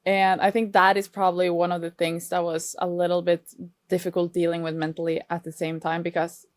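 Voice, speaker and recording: A woman's voice: fairly low pitch, little pitch movement, a little fast, no room sound, studio quality. A Norwegian woman in her twenties, a podcast guest, speaking English with a Norwegian accent in an earnest, reflective way. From Norway. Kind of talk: casual podcast interview.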